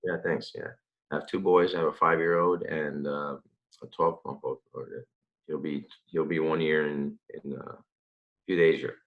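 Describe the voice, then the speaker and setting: A man in his thirties from the Dominican Republic, answering interview questions in a relaxed, rambling way with many fillers and restarts. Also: very deep voice, normal speed, muffled recording. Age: 30s. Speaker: interview guest, real estate developer.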